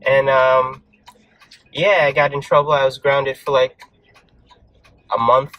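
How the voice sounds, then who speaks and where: A man's voice: mid-range voice, flat delivery, slow pace, no echo, dull audio. A young man casually telling a story from his school days, laid-back and acting out the voices he quotes. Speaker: vlog co-host.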